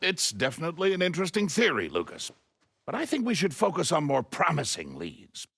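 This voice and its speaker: A man playing a pompous, skeptical doctor and inventor in a game, raspy and haughty. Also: fairly high pitch, wildly swooping pitch, fairly quick, a bit bright, no echo, heavily acted. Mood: dismissive. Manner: haughty, condescending.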